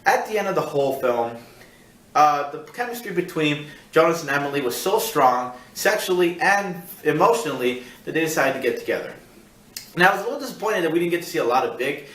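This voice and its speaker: Guy doing a casual movie review, kinda rambly and halting, bit far from the mic, roomy echo. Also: a little high, animated, a bit bright. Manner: rambling, repeats himself, halting search for words. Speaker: film review show host. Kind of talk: casual film review monologue. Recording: echoey room, distant mic.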